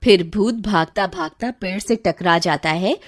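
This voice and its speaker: A woman reading scripted story narration for a cartoon, with loud noisy ambience around her voice. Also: medium pitch, a little slow.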